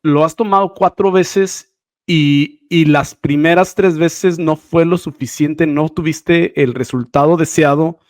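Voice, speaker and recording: A man's voice: on the high side, fairly even intonation, unhurried, no room sound. Mexican man interviewing casually, close dry sound. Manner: familiar, slangy, buddy-like. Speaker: podcast host, interviewer.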